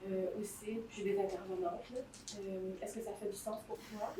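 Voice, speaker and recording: A woman with a Québécois accent playing a shelter intake worker in a role-play, talking gently and reassuringly with many fillers. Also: medium pitch, flat delivery, moderate pace, close to an everyday voice. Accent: Québécois French. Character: patient female shelter counselor, kind and reassuring. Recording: roomy, distant mic, noisy.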